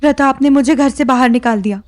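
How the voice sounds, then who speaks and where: A woman's voice: high voice, a little fast, darkish tone, close to an everyday voice. A woman playing a wronged, anxious young married woman in an audio drama, earnest, pleading and insistent. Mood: upset. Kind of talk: Hindi audio drama acting. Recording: music bed under the voice.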